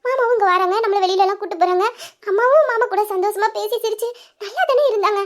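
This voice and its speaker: A woman's voice: very high voice, quick pace. A woman voicing a caring younger sister who coaxes and comforts her older sibling. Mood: comforting. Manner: soothing, coaxing. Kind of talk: dramatized audio story.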